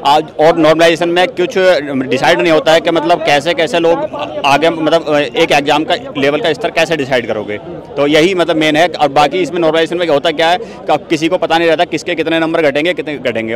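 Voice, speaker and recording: A man with a slightly raised voice argues his point with rhetorical questions. Protesters can be heard clearly around him outdoors. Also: fairly high pitch. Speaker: exam aspirant protester. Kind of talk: impromptu street interview. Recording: crowd noise outdoors.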